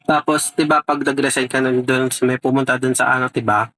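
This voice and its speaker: A man's voice: medium pitch, little pitch movement, fairly quick, sharp tone, dry recording. A Filipino man vlogger chatting casually in a playful, rambling way full of vague filler words. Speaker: vlogger making a prank call. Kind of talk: casual chat, prank phone call.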